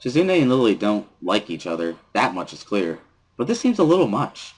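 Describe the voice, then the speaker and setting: A man reading first-person story narration from a visual novel as a dramatic reading, with a husky voice. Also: lowish voice, very animated, moderate pace.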